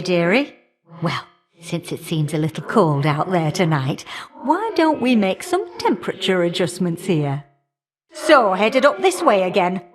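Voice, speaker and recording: A woman's voice: mid-range voice, close to an everyday voice. A woman playing an irritable, motherly old goddess in a video game, scolding and teasing in a folksy way with old-fashioned endearments. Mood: teasing, warm, then wry, musing. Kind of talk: video game character dialogue.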